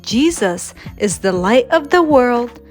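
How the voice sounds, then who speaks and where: A woman voicing a patient, loving mother bear who explains things gently in a storybook. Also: a little high, animated, slow, faint music behind, voice pushed up. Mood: reassuring.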